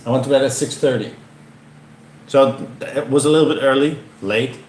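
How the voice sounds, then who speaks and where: A man's voice: mid-range voice, moderate pace, darkish tone. A man in his thirties, a native German speaker, chatting casually in English on a livestream from his living room, rambling and correcting himself as he goes. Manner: chatty, rambling, self-correcting, gestures a lot. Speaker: livestream host. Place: living room with framed photos on wall. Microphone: camera-mounted mic, seated on couch. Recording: echoey room with faint hiss.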